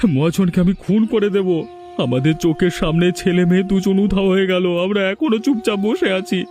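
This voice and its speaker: Village dad for cartoon drama, played by a man: angry, determined, mellow timbre over soft music. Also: high voice, normal speed, lightly acted. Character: angry, resolute village father of a missing daughter.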